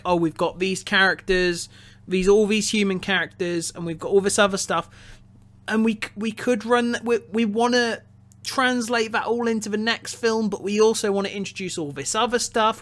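High-pitched, halting, analytical man. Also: a bit bright. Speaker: podcast co-host reviewing films. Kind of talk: casual analytical review chat.

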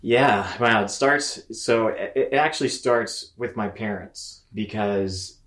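A man's voice: on the low side, takes it slowly, fairly bright tone. A man in his thirties from Mississippi, telling his story conversationally as an interview guest, in a reflective, explanatory way. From Mississippi, United States. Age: 30s. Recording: roomy, echoing sound.

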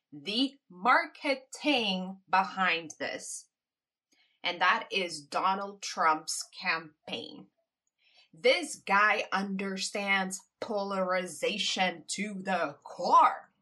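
Slow-talking bright-voiced woman podcaster. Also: medium pitch. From Caribbean. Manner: reflective, laughs between points. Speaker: podcast host. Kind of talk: casual solo podcast monologue.

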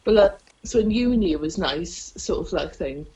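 A woman's voice: lowish voice, lively intonation, moderate pace, dark tone, muffled recording. A young woman with a Welsh accent, a stand-up comedian chatting in an interview, rambling and self-deprecating with lots of fillers. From South Wales. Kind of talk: casual interview chat.

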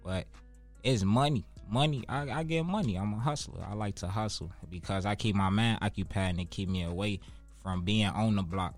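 A man's voice: lowish voice, moderate pace, soft background music. A man in his twenties with a Chicago accent, talking casually as an interview guest in street slang, with profanity and emphatic repetition. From Chicago, United States. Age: 20s.

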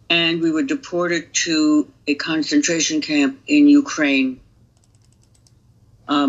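Woman in her 80s from Romania, interview guest, measured halting delivery, grave, muffled sound. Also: deep voice. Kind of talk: personal testimony.

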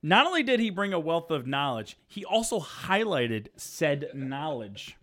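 A man's voice: a little high, big pitch swings, a little slow, bright, forceful tone. A man in his thirties hosting a casual podcast, rambling and joking with plenty of fillers and restarts. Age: 30s. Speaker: podcast host. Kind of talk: casual chat.

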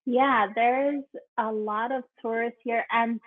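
A woman's voice: fairly high pitch, steady tone, slow pace, no room sound. A woman from Hawaii chatting casually as a podcast guest, heard over a thin, phone-like connection. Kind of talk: casual interview chat. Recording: thin phone-like call audio.

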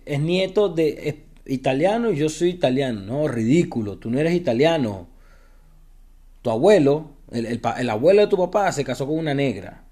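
A man's voice: medium pitch, dark tone. A young man with a Venezuelan accent, talking bluntly and casually in a podcast monologue, swearing freely as he gives his opinions. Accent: Venezuelan Spanish. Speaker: podcast host.

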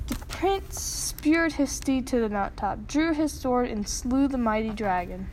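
A woman's voice: a little high, a little slow, darkish tone, a little hiss or hum, low-quality recording. A woman reading a children's story aloud as its narrator, in scripted storytelling style. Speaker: storybook reader.

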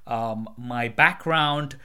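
A man's voice: medium pitch, extremely slow, pushed and bright, clean tone. A man, likely in his twenties, hosting a casual conversational interview. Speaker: interview host, content creator. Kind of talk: casual chat.

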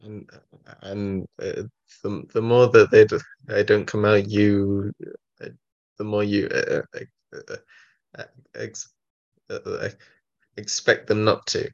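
A young man in his twenties who stutters heavily, repeating sounds and filling pauses as he answers interview questions. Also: lowish voice, level tone, gravelly. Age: 20s. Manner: heavy stutter with many repetitions and fillers. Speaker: college student guest. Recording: dull muffled lo-fi audio.

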